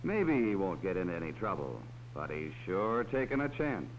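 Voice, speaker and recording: A man's voice: deep voice, very animated, normal speed. A man narrating a 1940s educational film, talking kindly to children as a policeman would. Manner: talks down to children. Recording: dull old film soundtrack with faint hiss.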